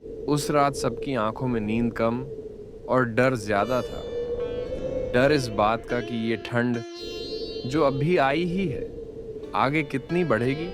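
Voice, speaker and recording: A man narrating a scripted moral story in a measured, dramatic storytelling manner. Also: mid-range voice, loud music behind the voice. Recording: loud background noise.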